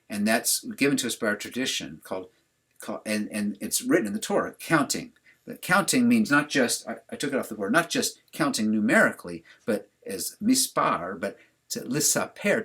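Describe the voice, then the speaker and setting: A man with a slightly husky voice leads a class over a video call. He talks in a loose, conversational way, often asking his students questions. His pitch sits in an ordinary middle range. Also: a bit bright. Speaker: teacher. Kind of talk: online religious class.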